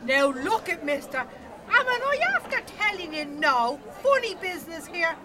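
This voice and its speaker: Very high-pitched, combative Dublin woman. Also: sing-song, moderate pace, heavy background noise. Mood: suspicious, threatening. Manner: blunt, threatening. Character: suspicious, combative Dublin woman bingo checker. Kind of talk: radio drama acting. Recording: busy crowd behind.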